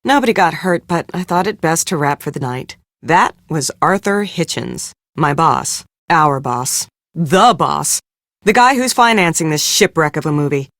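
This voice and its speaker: An actress playing a harried, blunt film producer in a mystery game, brusque and sardonic. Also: female voice, deep voice, animated, a little slow, fairly bright tone, no echo, studio quality, exaggerated voice. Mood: sardonic, weary. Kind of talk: scripted game dialogue.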